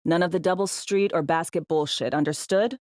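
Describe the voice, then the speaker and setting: Woman acting low-voiced, wry, tough spy handler. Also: brisk, darkish tone, no room sound, studio quality, close to an everyday voice. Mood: focused, tense. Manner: clipped slangy phrasing, sardonic and teasing. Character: wry, tough, bossy female spy handler in cyberpunk city. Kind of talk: video game voice acting, briefings and radio guidance.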